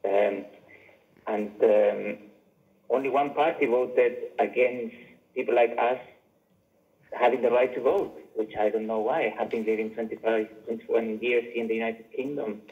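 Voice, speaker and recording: A man from Chile speaking as an audience member, putting an earnest, halting question to a televised debate. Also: mid-range voice, steady tone. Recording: muffled phone-like line.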